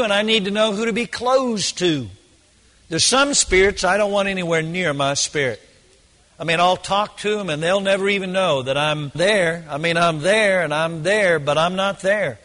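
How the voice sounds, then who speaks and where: A hoarse man preaches with a high-pitched voice that rings with long reverb, as in a large hall. He presses his points hard and repeats phrases to drive them home. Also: fairly bright tone. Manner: emphatic, repeats phrases for stress. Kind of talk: sermon.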